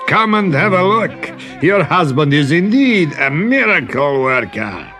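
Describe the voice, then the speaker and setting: A man voicing an imperious, excitable prince in a children's story, with grand, drawn-out, roaring declamation. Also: a little high, faint music behind, voice pushed up, exaggerated voice. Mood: gleeful, commanding. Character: imperious, excitable male prince, booming and gleeful.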